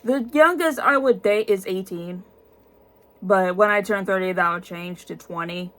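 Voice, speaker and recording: A woman's voice: medium pitch, unhurried. A woman in her twenties, tired, answering viewer questions in a casual vlog with many ums and restarts. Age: 20s.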